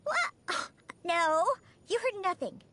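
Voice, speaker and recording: A woman playing a small, feisty cat-girl bartender in a video game, girlish and cutesy, with a huffy, expressive manner. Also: extremely high pitch, slow, full character voice. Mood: impatient. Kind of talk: video game character voice lines.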